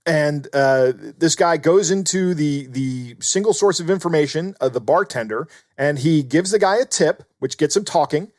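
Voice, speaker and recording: A man's voice: a little high, animated, normal speed, darkish tone, no echo, studio quality. A man co-hosting a podcast, explaining things casually. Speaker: podcast co-host.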